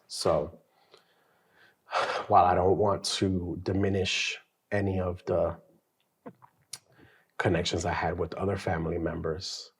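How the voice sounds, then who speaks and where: Low-voiced man telling his story. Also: takes it slowly, pauses often. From New York. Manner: conversational, animated, slangy. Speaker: podcast co-host. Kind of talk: casual testimony chat.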